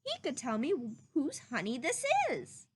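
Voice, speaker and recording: A woman voicing a gentle, simple, earnest male toy bear from a children's storybook, plainly spoken. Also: sits high, wildly swooping pitch, measured pace, husky, exaggerated voice. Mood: puzzled.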